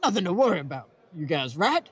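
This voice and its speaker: Male actor as naive, earnest pal in a radio comedy sketch, innocent straight-man delivery, fairly high voice. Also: very animated, fast, a bit bright, slightly rough, exaggerated voice. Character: earnest, naive male friend of war veterans. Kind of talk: old-time radio sketch comedy acting.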